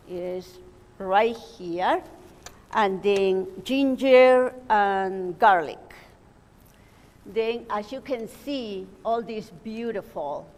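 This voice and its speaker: Slow, hesitant woman with a Peruvian accent. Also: mid-range voice, a little mellow. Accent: Peruvian Spanish. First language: Spanish. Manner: hesitant, with frequent fillers, reading from notes. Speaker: cooking demonstrator. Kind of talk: live cooking demonstration. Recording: long reverb in a hall.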